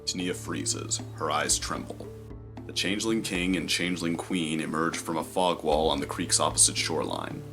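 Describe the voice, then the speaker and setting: A man's voice: a bass voice, moderate pace, vocal fry, music in the background. A man giving scripted narration of the action in an audio drama, as its narrator.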